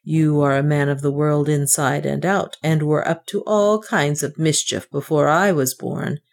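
A woman voicing a rough, mocking man who taunts a sick old sinner. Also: a little high, fairly even intonation, measured pace. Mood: taunting.

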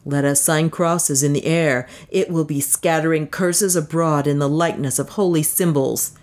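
A woman's voice: rumbling low, heavily acted. A woman reading a story, voicing a bitter, enraged young man who denounces his lover in archaic, venomous speech. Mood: fiendish scorn. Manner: venomous, drawn-out archaic denunciation. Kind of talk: dramatic audiobook storytelling.

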